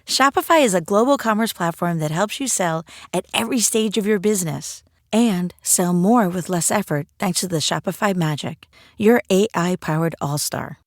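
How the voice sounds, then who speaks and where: A woman giving a smooth, upbeat scripted ad read. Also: on the low side, normal speed, no echo, studio quality. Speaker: ad reader.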